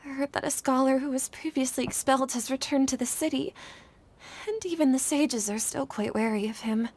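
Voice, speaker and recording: A woman's voice: high-pitched, a little fast, darkish tone. An actress playing an anxious, guilt-ridden young woman scholar in a video game, speaking earnestly and formally. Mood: earnest, resolved. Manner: earnest, troubled, formal wording. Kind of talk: dramatic video game voice acting.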